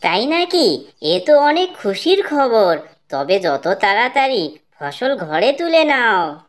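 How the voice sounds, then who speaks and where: A woman voicing a kindly little female tailorbird in a cartoon, with an earnest, gentle manner. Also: on the high side, very animated, takes it slowly. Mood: pleased. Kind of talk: cartoon character dialogue.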